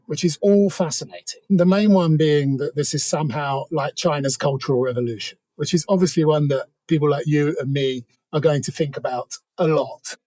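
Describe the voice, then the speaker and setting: A man's voice: on the high side, mellow, dry recording. A middle-aged man with an English accent gives conversational analysis as an interview guest, discursive, opinionated and wry. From England.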